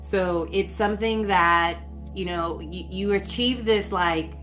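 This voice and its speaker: A woman guest with a doctorate, speaking in an articulate, reflective way with quick bursts of speech. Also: mid-range voice, fairly bright tone, soft background music. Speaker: podcast guest, leadership coach with a doctorate. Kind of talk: reflective interview talk.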